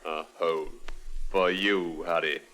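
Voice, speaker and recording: A menacing desert bandit chief in a vintage radio play. His tone is bright and cutting, with mocking courtesy. There is light noise and clicking on the recording. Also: sits low, steady tone. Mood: mocking menace. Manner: mocking politeness, deliberate. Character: sly, menacing male desert bandit boss. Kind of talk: old-time radio drama acting.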